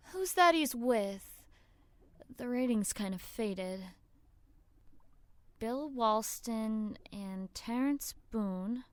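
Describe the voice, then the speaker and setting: An actress playing an earnest young woman in an audio drama, urgent and expressive as she searches on behalf of her grandfather. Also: fairly high pitch, no room sound, exaggerated voice. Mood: curious, excited. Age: young adult. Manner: talks to herself, gasping, urgent pleading.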